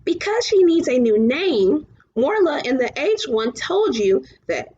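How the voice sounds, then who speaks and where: Woman playing a gentle male storybook dragon, coaxing, very high lilting voice, muffled recording. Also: big pitch swings, a little slow. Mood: earnest, coaxing. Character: gentle, earnest luck dragon companion, male. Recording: muffled, clearly noisy home recording.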